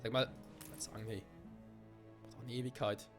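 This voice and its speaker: A man's voice: mid-range voice, level tone, normal speed. Stuffy-nosed man cursing through gameplay. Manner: swearing, muttering to himself, thinking aloud. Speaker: let's play gamer. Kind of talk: casual gameplay commentary. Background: faint game music.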